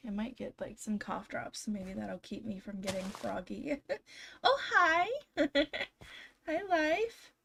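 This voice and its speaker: A woman's voice: mid-range voice, animated, slow, vocal fry, sharp tone. A young woman streaming from home, chatting casually to her viewers with a slightly scratchy, froggy voice from being sick, giggling often. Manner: chatty, giggly, talks to chat. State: hoarse, recovering from illness. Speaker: game streamer. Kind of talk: casual live stream chat. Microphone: desk microphone.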